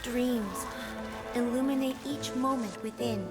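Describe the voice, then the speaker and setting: A serene, wistful goddess acted by a woman speaks in a fairly high, gentle voice. She takes her time over each poetic phrase, with prominent music underneath, as in a fantasy game cutscene. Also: lightly acted. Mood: serene, solemn. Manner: measured, soft, poetic. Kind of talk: English video game voice acting.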